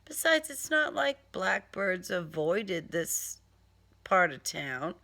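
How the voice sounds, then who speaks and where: A woman narrates a crime novel in the first person with theatrical flair. Her delivery is slow and deliberate, her pitch swinging widely, with a sardonic edge to the phrasing. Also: big pitch swings, creaky, pushed and bright. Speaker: author reading own crime novel.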